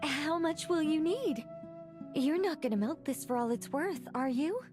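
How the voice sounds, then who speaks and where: A high, girlish-toned angel woman from a video game. She speaks theatrically, with a playful, boastful streak, over light background music. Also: a little slow. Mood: sheepish. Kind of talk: scripted video game voice acting.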